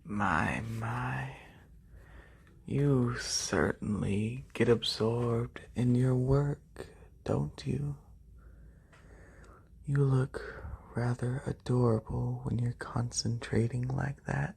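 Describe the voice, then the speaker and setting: A man playing a haughty, wealthy lamia noble in a soft, breathy ASMR whisper, slow and deliberate, close to a binaural microphone. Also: medium pitch, fairly even intonation, heavily acted. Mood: pleased, teasing.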